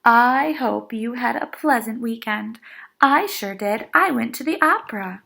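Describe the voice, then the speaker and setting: A woman voicing a kind, gentle schoolteacher in a children's storybook, speaking encouragingly to her pupils. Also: fairly high pitch, animated, a little slow, creaky, exaggerated voice. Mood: cheerful. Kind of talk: children's storybook read-aloud.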